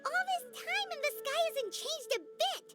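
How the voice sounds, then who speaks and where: A woman voicing a tiny, excitable female fairy companion in a video game, chirpy and exclamatory. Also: very high voice, moderate pace, soft background music. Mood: excited, explaining. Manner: exclamatory, chirpy, speaks of herself in third person. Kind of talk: animated video game voice acting.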